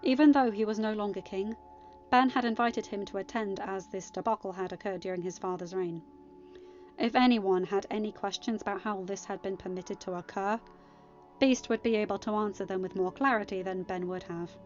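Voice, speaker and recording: A woman's voice: mid-range voice, steady tone, fairly quick, a little mellow, faint music behind. A woman reading fan fiction aloud as scripted audiobook narration. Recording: slightly dull, band-limited home recording.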